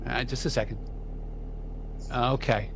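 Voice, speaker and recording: A man playing a jumpy, squeamish working-class morgue worker in an old-time radio drama, halting and repeating himself. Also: mid-range voice, fairly even intonation, gravelly, a little hiss or hum. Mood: casual. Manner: halting, repetitive, colloquial.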